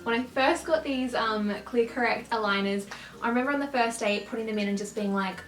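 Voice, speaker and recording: Young woman, slight lisp, chatty and upbeat, roomy echoey recording. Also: a little high. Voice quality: slight lisp from clear aligners. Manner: chatty, upbeat, quick to laugh. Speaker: vlogger. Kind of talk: casual chat to camera. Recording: roomy echo.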